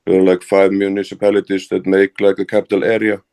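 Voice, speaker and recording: A man's voice: low-pitched, monotone, quick pace, vocal fry, darkish tone, clear, resonant tone. A man from Iceland with an Icelandic accent, giving plain, hesitant answers as an interview guest. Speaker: interview guest, fraternity member.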